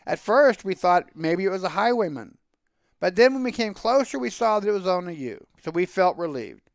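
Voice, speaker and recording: Lost storybook townswoman, read by a man, high, animated, flustered, impatient, chatty, dry recording. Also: normal speed, dry recording. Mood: chatty, relieved.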